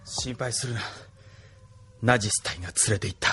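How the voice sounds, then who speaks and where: A man playing a bitter, envious young male officer who talks in a brooding, hushed way that drops to whispers, in an anime audio drama. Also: lowish voice, normal speed, a little hiss or hum. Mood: curt.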